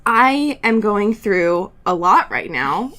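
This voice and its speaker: A woman's voice: mid-range voice, expressive, slow pace, a bit bright. A woman co-hosting a podcast in casual comedic banter, giggly and given to theatrical exaggeration. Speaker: podcast co-host.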